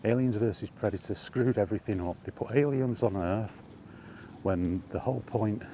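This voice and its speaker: A British man with a deep, dark-toned voice talks casually to his viewers as a film vlogger. He rambles bluntly, with exasperation and dry sarcasm. There is faint noise behind him. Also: normal speed. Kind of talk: casual opinionated film chat, rambling monologue.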